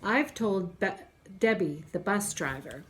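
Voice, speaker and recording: A woman reads a children's story aloud with the deliberate, expressive delivery of a teacher. Her pitch sits in an ordinary middle range for a woman. There is faint background noise in the recording.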